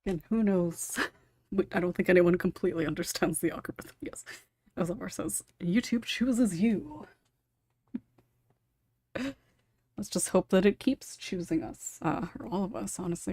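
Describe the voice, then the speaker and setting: Female podcast host, chatty and giggly, breathy texture, very dry recording. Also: fairly low pitch, a little mellow, no echo. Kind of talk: casual panel discussion hosting.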